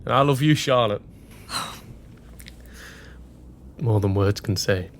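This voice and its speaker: A man's voice: fairly low pitch, brisk, a little hiss or hum, natural voice. An actor playing a sincere young working-class grocer's assistant in a period radio drama, speaking earnestly and tenderly to his sweetheart. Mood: loving.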